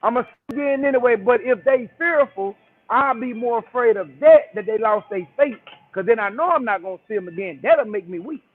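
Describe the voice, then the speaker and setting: A man's voice: very high voice, lively intonation, brisk. A man calling in by phone, talking in a casual, preachy, emphatic way and peppering his speech with "man" and "bro". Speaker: call-in guest. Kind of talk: casual call-in chat. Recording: tinny phone line.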